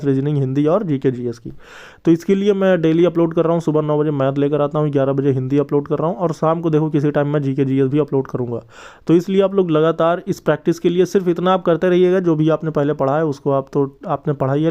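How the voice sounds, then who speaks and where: Mellow, clear-voiced man. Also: fairly high pitch. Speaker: exam-news channel host and tutor. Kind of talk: informal exam update addressed to viewers.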